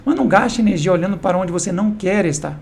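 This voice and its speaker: A man's voice: sits high, fast talker, creaky, a crowd in the background. A man, a guest on a podcast, giving advice in an emphatic, direct way. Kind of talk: casual interview chat.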